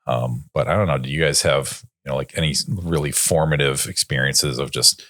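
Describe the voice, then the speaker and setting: A man in his late forties chatting reflectively as a podcast co-host, thinking aloud in a wry, halting way. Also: rumbling low, a bit bright, no echo, studio quality. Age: late 40s. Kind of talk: casual reflective panel chat.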